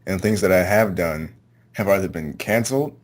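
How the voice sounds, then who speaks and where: A man answering interview questions casually, in a low-key, slightly hesitant way. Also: moderate pace, background noise. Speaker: amateur voice actor, interview guest.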